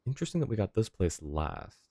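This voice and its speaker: A man with a low voice, streaming a game and chatting with viewers. He is easygoing and polite, thinks aloud, and is recorded close and dry. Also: very animated, quick pace, mellow, no room sound. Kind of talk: casual livestream chat.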